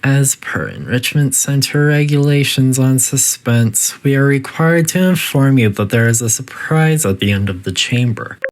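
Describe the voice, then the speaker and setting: A synthetic voice playing a politely detached, quietly menacing artificial intelligence that makes formal, deadpan announcements in a video game test facility. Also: mid-range voice, moderate pace, hardly any pauses, close to an everyday voice. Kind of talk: scripted game announcer narration.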